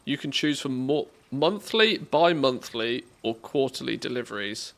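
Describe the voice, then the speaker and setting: A man from England with an English accent talks off the cuff as a livestreamer. His voice sits at an average pitch for a man. His delivery is informal and joking, often at his own expense. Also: expressive, a little slow, gravelly. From England, born in the northwest, lived mostly in the Midlands. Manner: jokey, self-deprecating. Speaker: game livestreamer. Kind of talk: casual livestream chat.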